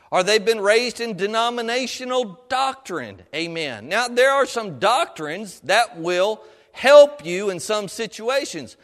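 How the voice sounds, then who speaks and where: A middle-aged man preaches in a high-pitched voice that rings through a long hall reverb. His phrasing is folksy and colloquial. He exhorts the congregation, often checking in with questions and amens. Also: a bit bright. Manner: exhortatory, punctuates points with amen and questions to congregation. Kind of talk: sermon. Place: preaching to a congregation in a large room.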